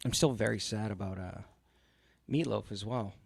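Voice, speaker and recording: Gravelly low-voiced podcast guest. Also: male voice, moderate pace, no echo. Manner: crude, self-mocking humor. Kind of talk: casual chat on a live stream.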